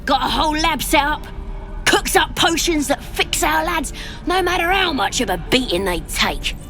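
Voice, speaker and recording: A high-voiced female goblin, cocky and zealous. She talks in a colloquial, wheedling, boastful way, as in a fantasy video game, with music clearly behind her. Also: lively intonation, moderate pace, pushed and bright, full character voice. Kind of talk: video game character acting.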